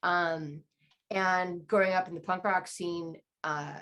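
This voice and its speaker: A woman with a naturally low voice, talking candidly and rambling as a band guest in a casual interview. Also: fairly even intonation, slow, a bit bright. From Southern California. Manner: rambling, candid, lots of fillers.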